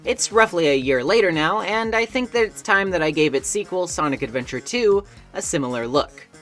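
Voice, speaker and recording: A man's voice: sits high, expressive, a little fast, a bit bright. A man reading a scripted video essay in an articulate, wordy way, with wry asides. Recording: music behind narration.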